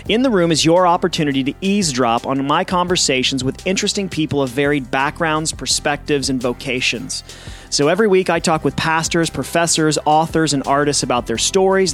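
A man's voice: a little high, fairly bright tone. A male pastor hosting a podcast, reading a scripted intro and then asking easygoing interview questions. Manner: friendly, measured questions with fillers.

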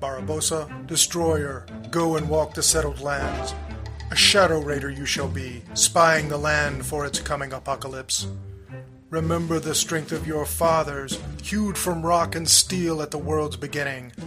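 A man's voice: fairly high pitch, steady tone, unhurried, music in the background, close to an everyday voice. A man voicing a stern old barbarian king who speaks to his son with commanding solemnity.

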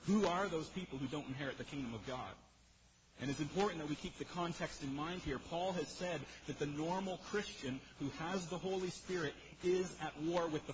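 A man preaching an expository sermon in a powerful voice, emphatic yet conversational, putting questions straight to his listeners. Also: on the high side. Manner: emphatic, conversational, rhetorical questions and direct address. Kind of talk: sermon, expository teaching to a congregation. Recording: muffled sound with clear background noise.

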